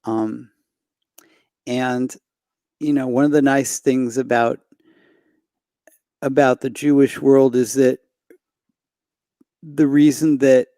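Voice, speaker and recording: A middle-aged man from Los Angeles talks as a guest on a video call, using a headset and desk mic. His voice is mellow and dry, with no room sound. He speaks slowly, with frequent pauses and restarts. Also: medium pitch, fairly even intonation, dry recording. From Los Angeles, United States. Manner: hesitant, many fillers and restarts. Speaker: singer guest. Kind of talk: reflective conversation. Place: home room indoors.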